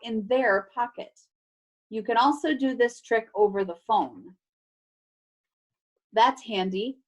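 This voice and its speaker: Female teacher, slightly high voice, very unhurried delivery; expressive storybook read-aloud with playful emphasis and chatty asides to pupils. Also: low-quality recording. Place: remote video class.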